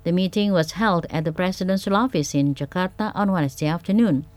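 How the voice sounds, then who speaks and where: A woman's voice: on the low side, brisk, darkish tone, no room sound. A woman news presenter with an Indonesian accent, reading scripted radio news.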